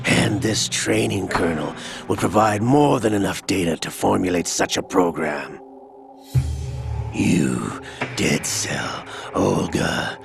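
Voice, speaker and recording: An actor playing a cold, scheming older male villain in a video game, calm, measured and mocking as he lays out a plot. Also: lowish voice, creaky, exaggerated voice. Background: game score music.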